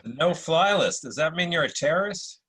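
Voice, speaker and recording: A middle-aged or older American man giving a casual, halting, rambling introduction of himself as an actor. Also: fairly high pitch, lively intonation, pushed and bright, husky.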